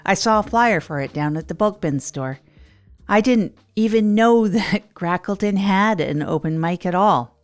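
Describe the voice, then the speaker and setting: A woman playing an earnest, hapless radio host in a comedy audio drama, rambling in a deliberate, self-deprecating way. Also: mid-range voice, lively intonation, soft background music, lightly acted. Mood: hopeful, rambling. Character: Tog Chesterfield, earnest, hapless radio host rebuilding their life. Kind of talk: improvised comedy audio drama monologue, late-night radio parody.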